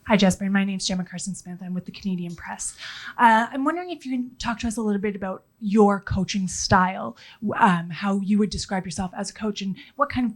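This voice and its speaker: A woman's voice: medium pitch, brisk. Female reporter, polite and hesitant. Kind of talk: press conference question.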